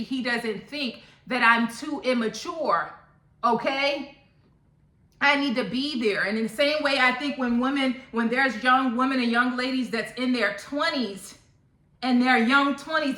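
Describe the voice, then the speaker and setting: A woman's voice: fairly high pitch, sharp tone. A woman in her thirties giving a casual opinion monologue to viewers, blunt and emphatic, often repeating points for emphasis. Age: 30s. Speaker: topic channel vlogger. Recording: echoey room.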